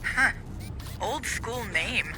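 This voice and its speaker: An actor playing a cocky, wisecracking young marine with a sardonic, informal way of talking. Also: male voice, sits high, animated, drawn-out words, pushed and bright, slight background noise, heavily acted.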